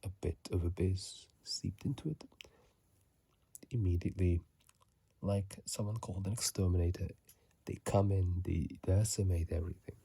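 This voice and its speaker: A man whispering casual ASMR game commentary in a hushed, breathy voice, close to a phone microphone. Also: deep voice, fairly even intonation, moderate pace, pauses often, no room sound. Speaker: ASMR video host.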